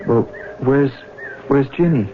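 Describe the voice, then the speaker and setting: An actor playing an earnest, intense younger brother on a farm in a 1970s radio drama. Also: male voice, medium pitch, takes it slowly, close to an everyday voice. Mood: shaken. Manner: intense, accusing. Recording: muffled old radio recording with noise.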